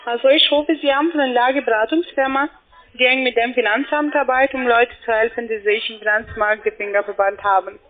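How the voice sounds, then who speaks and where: A woman's voice: a little high, steady tone, fairly quick. Woman on a tinny phone line. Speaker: telephone sales agent. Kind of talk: scripted phone pitch.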